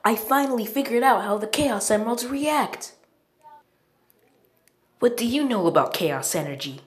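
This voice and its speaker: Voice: medium pitch, pitched above the performer's own voice. Woman doing an urgent, raised cartoon fox kid. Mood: eager. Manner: urgent, exclaiming. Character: excitable young cartoon fox sidekick, earnest, alarmed. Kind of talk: cartoon voice lines. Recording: roomy phone recording.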